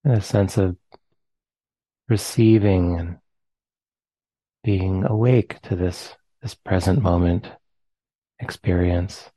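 A man with an American accent, leading a guided meditation in slow, soft phrases with long pauses. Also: sits low, fairly even intonation, no echo. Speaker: meditation teacher.

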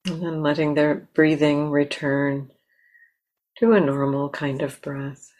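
A woman teaching a guided meditation, speaking gently in short phrases with long pauses between them. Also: sits low, fairly even intonation.

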